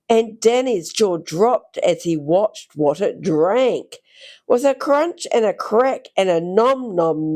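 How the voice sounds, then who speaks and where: A woman reads a rhyming storybook aloud for young children. Her pace is unhurried and her pitch swings widely, with some creak in the voice. She draws out phrases theatrically. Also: a little mellow. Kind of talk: expressive read-aloud of a rhyming picture book.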